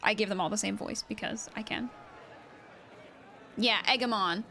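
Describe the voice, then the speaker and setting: A woman's voice: medium pitch, normal speed, vocal fry, sharp tone, slight background noise. A woman chatting casually and jokingly with viewers as she streams a game. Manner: informal, joking. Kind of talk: casual livestream chat with viewers.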